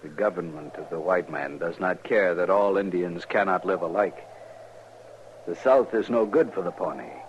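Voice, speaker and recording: An actor playing a dignified, stoic man in a 1950s radio western, speaking in solemn, formal, measured phrases. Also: deep voice, lightly acted. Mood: solemn, resolute. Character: dignified, stoic Pawnee man longing for his northern homeland. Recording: dull, noisy old radio recording.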